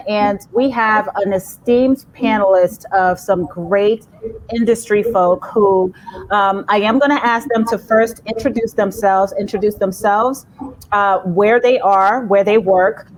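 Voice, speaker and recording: A woman's voice: medium pitch, rough recording. A woman hosting a virtual panel discussion in a chatty, rambling way. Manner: chatty, rambling intros with fillers. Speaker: panel host.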